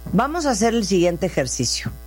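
A woman's voice: medium pitch, sing-song, fairly quick, breathy edge. A woman in her twenties with a Mexican accent, hosting a casual chat, joking and reacting with slangy quips. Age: 20s. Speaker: host.

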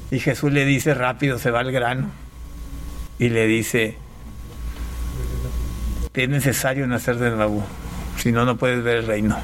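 A middle-aged man from Panama speaks at a medium pitch, telling his own story conversationally. He moves haltingly, repeating words and clearing his throat. Clear background noise sits behind the voice. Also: fairly even intonation, a bit bright, poor audio. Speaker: radio interview guest. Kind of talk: conversational personal testimony.

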